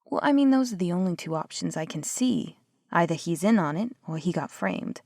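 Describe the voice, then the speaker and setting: A young woman with a low, gravelly voice talks close to the mic in a dry, dead room. She plays a skeptical investigator who argues and scoffs with casual swearing. Now and then she drops to a near whisper. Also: expressive, fairly quick, a little mellow, no echo. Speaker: audio roleplay performer as a skeptical investigator. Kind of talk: scripted one-sided audio roleplay.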